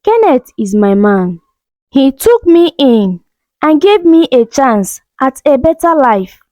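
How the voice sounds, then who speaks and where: A woman voicing a loyal young woman in a story, earnest and expressive. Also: high voice, slow, dry recording, heavily acted. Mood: tender. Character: gentle young woman, loyal, firm when threatened.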